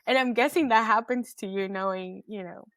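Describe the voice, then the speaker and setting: A woman's voice: medium pitch, moderate pace, a bit bright, no echo. A woman host whose first language is Spanish, talking reflectively and chattily in English in a casual conversation. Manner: reflective and chatty, many "like" fillers. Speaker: podcast host.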